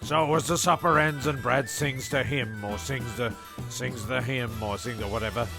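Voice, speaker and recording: Male comic-story narrator: gravelly creak, rambling and stumbling, music playing behind. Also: mid-range voice, normal speed.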